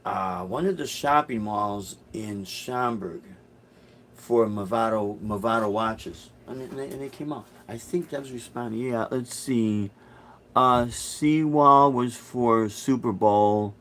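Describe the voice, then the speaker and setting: A man's voice: mid-range voice, slow, fairly bright tone, a little hiss or hum. A middle-aged man from Chicago chatting casually on a live stream, answering viewer comments in a rambling, hesitant way with many restarts. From Chicago, USA. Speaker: musician hosting a live stream.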